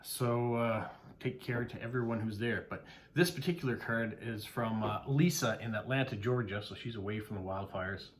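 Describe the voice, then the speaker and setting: A man's voice: mid-range voice, steady tone, moderate pace, a little hiss or hum. Middle-aged Canadian man, casual unboxing vlogger, chatty, filler-heavy delivery. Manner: chatty, warm thanks, frequent "uh" fillers. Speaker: postcard vlogger and mail carrier.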